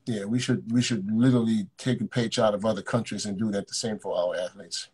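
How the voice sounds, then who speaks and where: A man's voice: on the low side, steady tone, brisk, darkish tone, husky. A man hosting a live video chat from a hotel room, blunt and joking, often repeating words as he talks. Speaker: coach and live stream host. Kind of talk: casual live stream chat with callers.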